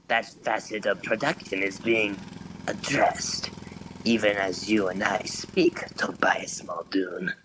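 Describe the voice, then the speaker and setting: A man's voice: on the low side, fairly even intonation, slow, background noise. A man voicing a cold, scheming robot villain commander with a formal, imperious delivery in a comic dub.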